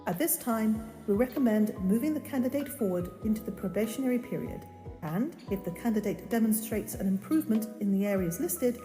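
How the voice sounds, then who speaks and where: In a long, echoing reverb, a woman acts out a female official reading a formal evaluation. She is deliberate and officious, with a mellow tone. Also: fairly high pitch, lively intonation, faint music behind, lightly acted. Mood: formal, approving. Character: formal adoption official's evaluation letter being read out. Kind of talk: formal letter read aloud. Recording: echoey hall-like reverb.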